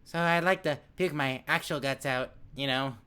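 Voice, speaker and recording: A man reading the lines of a weary, queasy young female cat from a video game, speaking slowly and tiredly. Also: mid-range voice, sharp tone, smooth, natural voice. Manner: slow, tired, drawn-out reading. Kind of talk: reading game dialogue aloud.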